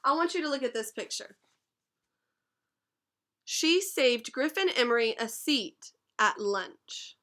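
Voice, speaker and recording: Young female teacher, patient read-aloud style, bright and a touch high. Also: animated, slow, pauses often. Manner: patient, explains words and asks questions. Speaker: teacher reading to class. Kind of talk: read-aloud storytime lesson.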